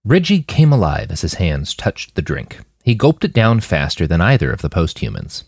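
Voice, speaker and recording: A man narrates scripted fiction in a lowish voice. His pitch moves around a great deal, and the sound is dry and close, as in an audiobook. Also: big pitch swings, moderate pace, a little mellow, breathy edge, no room sound. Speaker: author and narrator of a fiction podcast.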